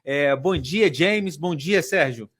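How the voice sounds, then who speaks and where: A man's voice: a little high, animated, slow pace, a bit bright. Man hosting a podcast with banter. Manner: friendly banter, teasing guests. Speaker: podcast host.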